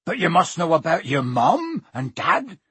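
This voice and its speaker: A man voicing a huge, gruff, hot-tempered but good-hearted giant in a booming, growling, folksy way for a storybook. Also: wildly swooping pitch, measured pace, bright, forceful tone, dry recording, heavily acted. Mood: exasperated.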